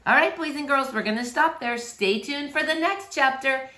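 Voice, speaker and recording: A woman narrating a children's novel aloud in an expressive, animated storytelling manner for children. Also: sits high, moderate pace. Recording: roomy, echoey indoor sound.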